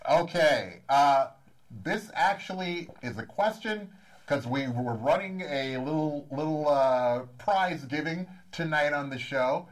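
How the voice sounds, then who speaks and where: A man's voice: on the high side, animated, takes it slowly. A man from Wisconsin, a comedian hosting an interview, joking about himself and often restarting his sentences. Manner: jokey, self-deprecating, stammers on restarts. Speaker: comedian host. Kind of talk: casual interview chat.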